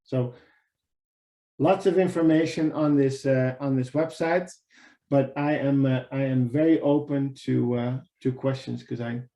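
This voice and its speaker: A man's voice: medium pitch, fairly even intonation, measured pace, mellow. A man in his thirties with a Dutch accent, presenting casually on a video call, talkative and often repeating words. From Netherlands. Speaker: webinar presenter. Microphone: video call webcam. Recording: echoey room on a video call.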